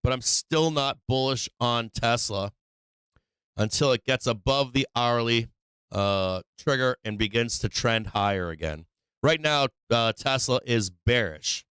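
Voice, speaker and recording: A man's voice: mid-range voice, unhurried, fairly bright tone, clear, resonant tone, no room sound. A man who is losing his voice from being sick, hosting a casual stock-trading livestream, thinking aloud over charts and tossing in rhetorical "right?"s. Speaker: stock market livestream host.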